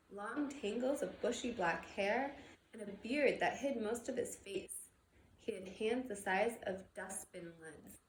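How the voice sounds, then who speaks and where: A woman reading a novel aloud as expressive scripted storybook narration. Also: medium pitch. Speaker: storybook reader. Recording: echoey room with background noise.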